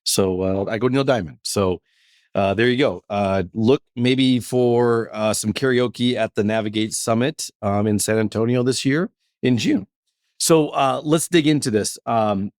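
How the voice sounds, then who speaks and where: An upbeat man hosts a podcast with a lowish voice, chatting casually and leaning on fillers. The sound is dry and close to the mic. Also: darkish tone, no echo. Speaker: podcast host.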